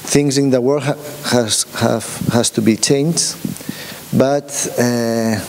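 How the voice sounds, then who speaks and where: A man's voice: medium pitch, steady tone. Hesitant man speaking in a reverberant hall. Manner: halting, many restarts and fillers, asks the room questions. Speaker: workshop speaker. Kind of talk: informal workshop talk. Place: conference room. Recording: long hall reverb, faint hiss.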